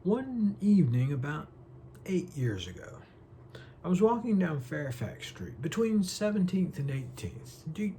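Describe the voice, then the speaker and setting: A man plays a gentle, dreamy eccentric from a small town in a theatrical film monologue. He is courteous and folksy, rambling slowly and hesitantly, with a pitch that rises and dips freely. A faint hum sits behind the voice. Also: sing-song. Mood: amiable, reminiscing.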